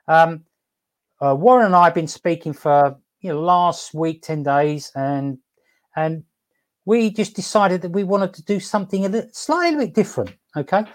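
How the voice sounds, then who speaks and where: Halting upbeat man hosting a live stream. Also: sits high, lively intonation. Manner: halting, many fillers and restarts, checks in with "okay?". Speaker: host. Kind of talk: casual live-stream chat.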